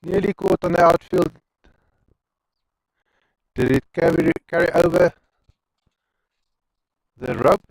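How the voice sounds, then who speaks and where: A man's voice: fairly high pitch, slow pace, creaky, long pauses, dry recording, rough recording. A man with an Afrikaans accent giving chatty live commentary on an amateur cricket match, wandering into rambling asides between balls. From South Africa.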